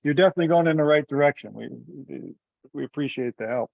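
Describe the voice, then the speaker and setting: A man informally chairing a group teleconference, talking in a halting, rambling way. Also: fairly high pitch, vocal fry, no room sound. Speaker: meeting chair. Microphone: teleconference call. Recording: dull, lo-fi conference call audio.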